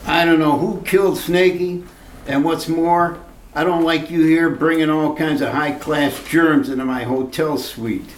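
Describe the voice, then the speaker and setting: A man playing a germ-phobic male gangster in a retro radio drama, talking gruffly in tough-guy slang. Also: on the high side, steady tone, a little slow, natural voice. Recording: roomy echo.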